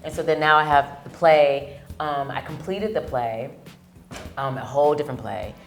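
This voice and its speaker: Guest: woman actor-producer, very low voice, animated storyteller, faint music underneath. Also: normal speed. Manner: animated storyteller who acts out quoted lines. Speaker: actress and producer, interview guest. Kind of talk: casual interview chat.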